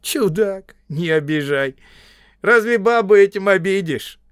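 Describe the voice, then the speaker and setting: A man's voice: high voice, lively intonation, measured pace, clear, resonant tone, dry recording, clean studio sound, voice pushed up. Man acting a brash, sly peasant tramp, raised voice. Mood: scornful. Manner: colloquial rural speech, boastful, teasing.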